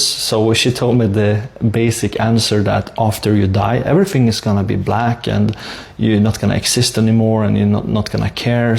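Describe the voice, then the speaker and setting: A man from Sweden with a Swedish accent, telling a personal story in a measured, earnest, plain-spoken way. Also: lowish voice, vocal fry, a little mellow. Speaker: speaker sharing a personal conversion story.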